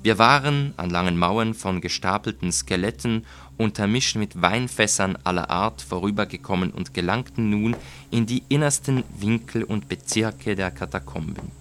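A man narrating a literary short story in a measured, deliberate, dramatic audiobook style. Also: lowish voice, fairly bright tone, slight background noise. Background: music.

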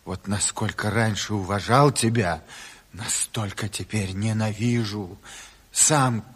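A man's voice: fairly low pitch, slow, fairly bright tone, breathy edge, big-room sound, full character voice. An actor playing a stern, bitter revolutionary chairman in a Soviet radio drama, severe and reproachful.

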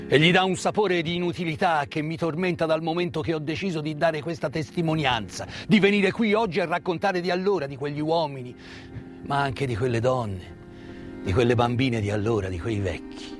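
A man delivers a theatrical monologue as a storyteller, solemn and emphatic, with deliberate pauses and repetitions. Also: medium pitch. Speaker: stage actor and storyteller.

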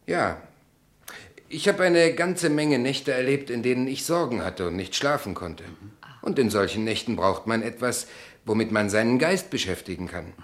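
An actor playing a self-assured, shrewd man who speaks calmly in a German radio play. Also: medium pitch, normal speed, natural voice. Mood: dry, composed.

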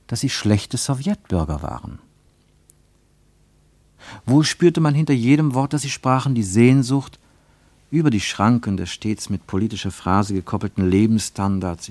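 A man playing an earnest, contemplative narrator in a literary radio play, phrasing deliberately and rhetorically. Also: on the low side, darkish tone, no echo, natural voice.

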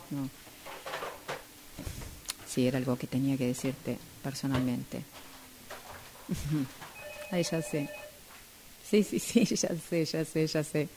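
Low-voiced Argentine woman, slow and hesitant. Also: dark tone, a little hiss or hum, poor audio. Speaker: radio drama workshop student. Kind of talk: acted dialogue exercise.

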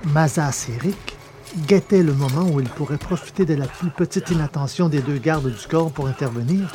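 Mellow-voiced male storyteller. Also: on the high side. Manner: measured, dramatic storytelling. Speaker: narrator. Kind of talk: scripted audiobook narration.

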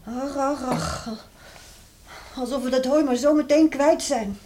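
Casting: worried rural mother, sceptical and sighing, slightly high voice, radio play. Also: measured pace, dark tone, a little hiss or hum. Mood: worried, grumbling. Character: worried, weary, grumbling farmer's wife and mother. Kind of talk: radio drama acting.

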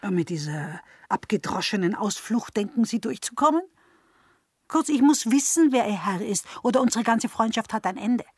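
Pert, high-voiced young maid. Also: female voice, animated, normal speed, slightly rough, no room sound, studio quality. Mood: demanding. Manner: coquettish, teasing, theatrical. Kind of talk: German radio play acting.

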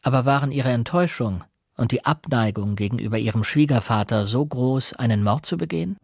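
An actor playing an earnest young investigator who narrates a German radio play, composed and courteous. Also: male voice, medium pitch, a little fast, vocal fry, a little mellow, husky, no room sound. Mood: composed, reflective.

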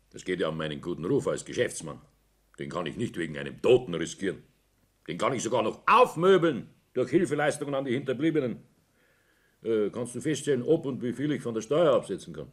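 A man's voice: on the low side, expressive, brisk, slightly rough. An actor with a Bavarian accent playing a jovial, scheming businessman in a 1960s German radio play. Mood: confiding, calculating. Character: jovial, scheming businessman father guarding his reputation.

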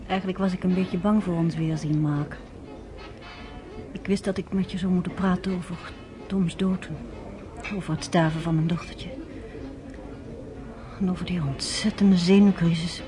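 A nurse in her late twenties answers in a reserved, guarded way, played by a woman. The voice is mid-pitched and restrained. Faint music sits beneath it in this radio drama. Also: fairly even intonation, moderate pace, long pauses, natural voice. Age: late 20s. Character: guarded young widowed nurse. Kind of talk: radio play acting.

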